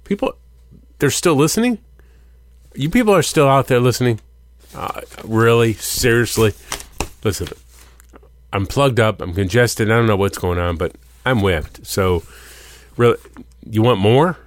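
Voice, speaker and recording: A man's voice: medium pitch, expressive, measured pace, pauses often, no room sound. A man, hosting and signing off a podcast, rambling and joking as he goes. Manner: rambling, self-interrupting, jokey. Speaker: podcast host. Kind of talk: casual podcast sign-off.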